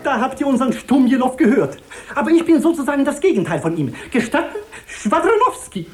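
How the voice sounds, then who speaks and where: An actor playing a boastful, chatty royal councillor in a children's radio play, speaking with pompous eloquence. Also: male voice, extremely high pitch, big pitch swings, brisk, darkish tone, faint music behind. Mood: smug, mocking.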